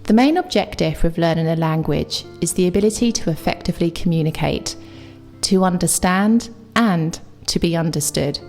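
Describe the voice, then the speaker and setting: A woman with a London accent, an English teacher delivering a scripted, reflective video essay with clear opinions. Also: lowish voice, normal speed, darkish tone, faint music behind. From England.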